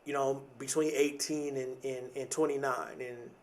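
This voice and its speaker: A man's voice: medium pitch, little pitch movement, measured pace. A man in his late thirties hosting a podcast, giving a casual motivational monologue in a reflective, conversational way, repeating phrases for emphasis. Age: late 30s. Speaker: podcast host.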